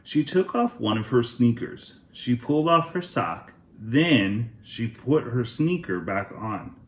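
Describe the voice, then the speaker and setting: A man hosting a children's read-aloud, narrating a storybook in a deliberate, expressive way. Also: medium pitch, reverberant.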